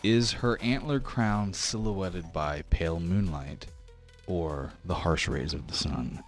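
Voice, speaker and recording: A man narrating a tabletop game as game master in a measured, theatrical storytelling manner. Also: low voice, soft background music. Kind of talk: dramatic scripted tabletop narration.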